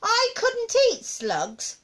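A woman's voice: high-pitched, wildly swooping pitch, measured pace, pushed and bright, pitched above the performer's own voice, full character voice. A woman voicing an earnest, protesting eight-year-old boy in a storybook, polite, pleading and exclaiming. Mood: disgusted.

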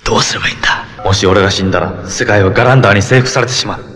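A man's voice: lowish voice, racing, fairly bright tone, lightly acted. A young man acting as a fierce, earnest jungle-raised superhero in a 1970s Japanese television action drama, intense and terse. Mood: grim, desperate. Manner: intense, terse, breaking into battle cries. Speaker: lead actor in a superhero television series. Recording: 1970s television soundtrack with music. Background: dramatic background music.

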